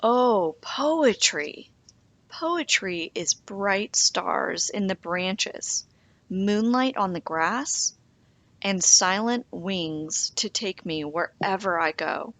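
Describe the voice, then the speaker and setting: A woman voicing a gentle, dreamy female owl in a children's storybook, musing in a drawn-out way. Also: medium pitch, animated, no room sound, exaggerated voice. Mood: dreamy, tender.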